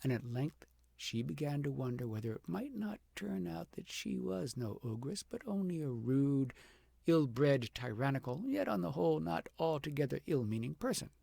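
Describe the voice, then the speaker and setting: A man narrating a fairy tale aloud as an audiobook, with dramatic, deliberate phrasing that swells for emphasis and drops to a hush. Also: fairly high pitch, very animated, vocal fry, no room sound. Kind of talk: expressive storybook narration.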